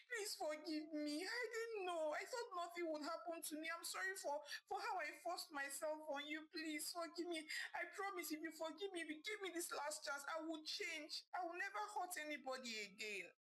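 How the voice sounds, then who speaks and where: A woman's voice: extremely high pitch, normal speed, few pauses, a bit bright, pitched above the performer's own voice, low-quality recording. Woman doing a broken young man pleading. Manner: tearful, pleading.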